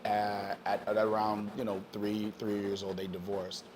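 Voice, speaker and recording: Haitian-born man, low relaxed voice. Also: moderate pace, background noise. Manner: relaxed storyteller, many you-knows and ums, mixes in French. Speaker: DJ guest. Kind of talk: casual interview chat.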